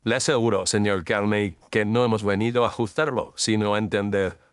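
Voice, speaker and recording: A man playing a courteous, precise Victorian gentleman detective in an audio story, with formal, measured phrasing. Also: on the low side, steady tone, smooth, no echo, lightly acted. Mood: courteous, assured. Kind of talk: audio story character dialogue.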